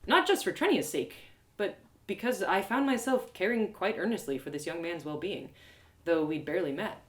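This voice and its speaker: A woman's voice: fairly low pitch, lively intonation, normal speed. A woman storyteller giving dramatic first-person narration of a tale. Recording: roomy echo of home live reading.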